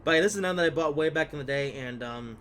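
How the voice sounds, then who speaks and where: A man's voice: fairly high pitch, racing, a bit bright, slight background noise. Rambling young man narrating gameplay. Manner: rambling, many fillers and trailing asides. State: slightly stuffed up from a cold. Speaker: gaming video host. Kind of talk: casual gameplay commentary.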